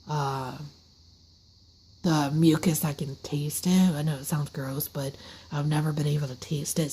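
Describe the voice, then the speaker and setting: A hoarse woman with an airy, breathy voice, telling a casual story-time monologue. She talks haltingly, runs short of breath, and her words often fade into a whisper. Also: low voice, a little mellow, slight background noise. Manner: slips into unvoiced whispers mid-sentence. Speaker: vlogger. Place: seated at a table indoors.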